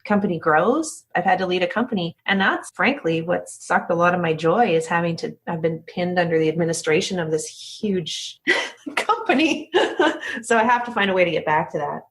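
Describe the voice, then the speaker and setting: A woman's voice: fairly low pitch, moderate pace. A woman chatting casually as an interview guest, telling stories candidly and acting out bits of remembered dialogue. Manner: candid, animated retelling with quoted dialogue. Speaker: interview guest, company founder. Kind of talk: casual conversational storytelling.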